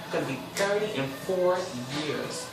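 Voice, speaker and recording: Twenty-something guy hosting his own little show, chatty and expressive, squeals high sometimes, super echoey room, mic kinda far. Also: moderate pace, over loud music. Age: 20s. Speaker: host. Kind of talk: casual solo talk show monologue.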